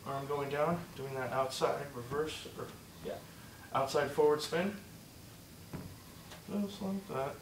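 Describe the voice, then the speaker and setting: A man teaching a movement drill step by step, encouraging and repeating himself, slightly hoarse and short of breath. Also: fairly high pitch, takes it slowly, echoey room, distant mic, a little hiss or hum, low-quality recording. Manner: encouraging, repeats "just like that" and "good". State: losing his voice, a bit out of breath. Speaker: instructor. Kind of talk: step-by-step demonstration lesson.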